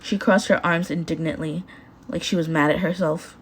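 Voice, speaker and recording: Casting: female audiobook-style narrator, lowish pitch, reading prose fiction from a script. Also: fairly even intonation, normal speed, slight background noise. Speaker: podfic narrator.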